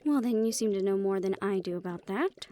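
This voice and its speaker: A woman's voice: medium pitch, a little fast, dark tone, a little hiss or hum, natural voice. A woman playing a helpful, knowledgeable magic-shop keeper in a fantasy audio roleplay, explaining things with light teasing.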